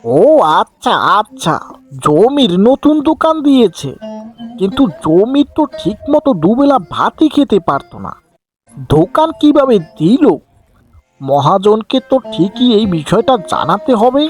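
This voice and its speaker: A pushy village sweet seller from a cartoon , played by a woman. The delivery is animated and rather high, with the edge of someone jealous of rivals, over soft music. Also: a little slow. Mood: suspicious. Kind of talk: cartoon fairy tale acting.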